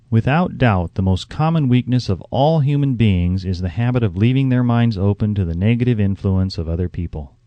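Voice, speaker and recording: Male narrator for self-help audiobook: lowish, warm voice, instructive delivery, careful phrasing. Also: dry recording, lo-fi sound.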